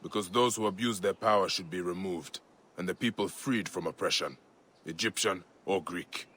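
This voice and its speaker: An actor playing a calm, dry, righteous Egyptian warrior in a video game. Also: male voice, deep voice, normal speed, a little hiss or hum. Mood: resolute. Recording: loud music behind voice.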